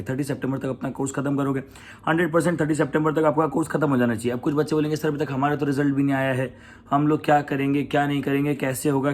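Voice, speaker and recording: A young adult Indian man with an Indian accent, a coaching teacher giving students a casual, emphatic pep talk, mixing English into Hindi and repeating his key points. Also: medium pitch. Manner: mixes English into Hindi, addresses students as beta and bhaiya.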